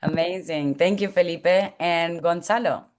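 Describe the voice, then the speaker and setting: A woman's voice: on the low side, measured pace. A woman hosting a webinar in an encouraging, semi-formal way, introducing guests and asking them questions. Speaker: host, college coaching staff.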